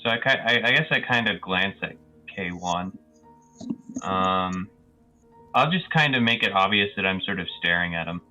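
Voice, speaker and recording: A man's voice: low voice, animated, moderate pace, sharp tone, soft background music, poor audio. A man playing a tabletop roleplaying game, casually describing his character's actions and speaking his lines.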